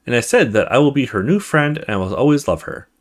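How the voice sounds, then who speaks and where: A man's voice: mid-range voice, sing-song, quick pace, close to an everyday voice. A man voicing an earnest little girl in a horror visual novel, confiding in her mother in a childlike way.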